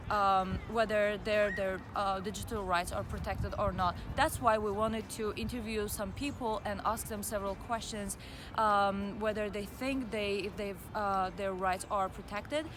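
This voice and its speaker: Woman presenting over noisy city ambience. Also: mid-range voice. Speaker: presenter introducing street interviews.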